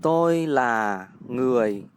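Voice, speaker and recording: A man from Vietnam with a Vietnamese accent, a native speaker teaching his language in English with careful, deliberate explanations. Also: mid-range voice, expressive, a little hiss or hum. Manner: careful, deliberate, spells out words. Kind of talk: scripted language lesson.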